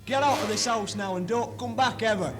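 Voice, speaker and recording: A man's voice: high voice, brisk, loud music behind the voice, lightly acted. A man playing an outraged, overdramatic father in a 1970s hospital radio comedy. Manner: blustering, overdramatic. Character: outraged, melodramatically ashamed father.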